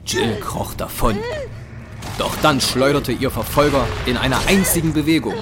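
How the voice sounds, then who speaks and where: A man's voice: mid-range voice, animated, moderate pace. A man narrating a scripted dramatic audio story. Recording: very loud music and battle effects behind.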